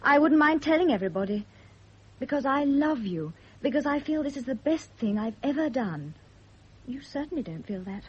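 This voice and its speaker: An actress playing a girlish, impulsive young British mistress, besotted and jealous, with a breathy voice, in radio drama style. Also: high voice, lively intonation, normal speed. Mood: hurt, reproachful. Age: young adult. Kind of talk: 1970s radio drama acting. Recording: muffled vintage radio drama recording.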